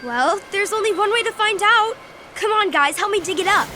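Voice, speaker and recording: A woman voicing a curious, excitable young schoolgirl, eager to discover things, in a children's audio drama. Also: very high voice, moderate pace. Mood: excited curiosity. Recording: noisy outdoor ambience behind.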